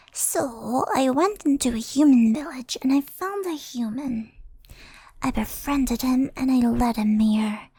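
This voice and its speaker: A woman's voice: high-pitched, mellow, no room sound, exaggerated voice. A woman voicing an earnest, secretive yokai sorceress in an ASMR roleplay, speaking in a hesitant, confessional, whispery voice. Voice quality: breathy, creaky, whispery. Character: earnest, secretive female yokai sorceress, devoted to her friend.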